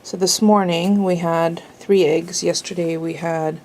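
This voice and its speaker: A woman's voice: lowish voice, fairly even intonation, unhurried, darkish tone, a little hiss or hum, poor audio. A woman vlogging at home in a casual, rambling way, a little sniffly and full of fillers. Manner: rambling, chatty, many fillers. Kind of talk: casual chat. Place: home, indoors.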